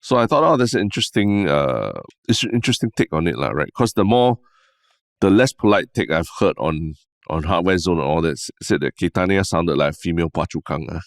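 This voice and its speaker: A young Singaporean man with a deep, low voice talks easily on a podcast. He sounds relaxed and keeps tagging his sentences with "lah" and "right?". The sound is dry and close, with no room around it. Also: fairly quick, no echo. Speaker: podcast co-host. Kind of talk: casual podcast chat.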